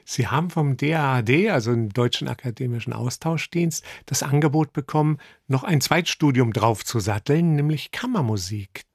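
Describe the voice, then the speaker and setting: A man hosting a radio interview and asking measured, polite questions. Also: mid-range voice, expressive, darkish tone, breathy edge, no echo, clean studio sound. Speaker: radio host. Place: radio studio.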